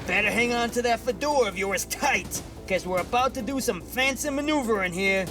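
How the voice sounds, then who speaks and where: Man voicing a high-pitched, brash wisecracking sidekick. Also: a little fast, over music, close to an everyday voice. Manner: folksy slang, cocky. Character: brash, wisecracking male flying sidekick, streetwise and loyal. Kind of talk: audio drama acting.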